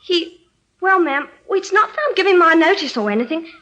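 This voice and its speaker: A meek young country maid in a vintage radio play. Her voice is extremely high, bright and lively in pitch, with a hesitant, deferential manner. It comes through a crackly old recording. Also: a little fast, dull audio, exaggerated voice. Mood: flustered. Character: timid young country housemaid, earnest and deferential. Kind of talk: old-time radio drama acting.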